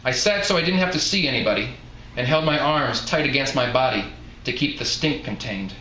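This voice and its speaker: A man's voice: medium pitch, lively intonation, a little fast, pushed and bright. A man reading first-person literary narration aloud from his own novel as its author. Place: live reading event indoors. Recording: echoey room, faint background noise.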